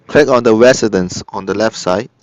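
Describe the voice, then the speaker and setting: A man narrating a step-by-step software tutorial in short, halting instructional phrases. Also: lowish voice, no room sound. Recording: dull lo-fi computer recording. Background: screen-recorded tutorial voice-over.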